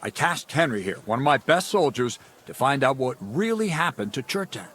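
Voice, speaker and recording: An actor playing an earnest, loyal medieval officer in a video game, respectful but insistent, with a husky voice. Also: male voice, mid-range voice, big pitch swings, moderate pace, a bit bright. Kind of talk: dramatic video game acting.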